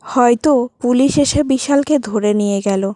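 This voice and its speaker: A woman's voice: a little high, normal speed, dark tone, dry recording, clean studio sound. A woman narrating a scripted cartoon story.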